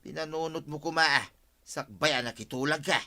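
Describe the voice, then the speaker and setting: A man's voice: fairly high pitch, brisk, bright, forceful tone. A man acting an easygoing, mildly scolding village husband with an Ilocano accent in an audio drama. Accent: Filipino, Ilocano.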